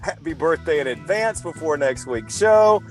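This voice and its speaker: A man's voice: on the high side, lively intonation, moderate pace, faint music behind. A man co-hosting a podcast interview, easygoing and joking in casual chat. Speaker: podcast co-host. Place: United States.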